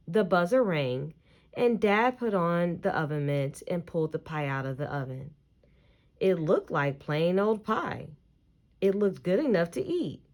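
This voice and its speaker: A woman reading a children's picture book aloud, expressively and deliberately, stressing key words as she tells the story. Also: lowish voice.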